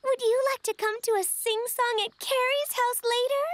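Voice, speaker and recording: A woman voicing a small, sweet young animal in a children's cartoon, with an earnest, gentle, childlike manner. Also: very high voice, steady tone, normal speed, a little hiss or hum. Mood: cheerful.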